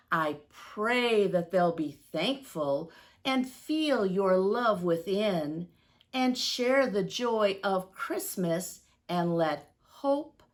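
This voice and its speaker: A woman voicing a humble, devout old Santa in a storybook, praying in a reverent, pleading manner. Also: mid-range voice.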